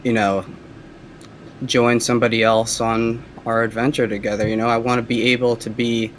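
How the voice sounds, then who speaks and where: Soft-voiced male caller, hesitant and rambling, mid pitch, light hiss. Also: flat delivery, normal speed. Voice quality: soft, feminine-sounding voice. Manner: hesitant, rambling, lots of fillers. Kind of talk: casual phone call.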